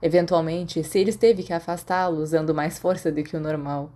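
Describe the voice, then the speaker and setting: Low, mellow Brazilian woman narrator. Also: steady tone, moderate pace. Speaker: audiobook narrator. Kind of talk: scripted fiction narration.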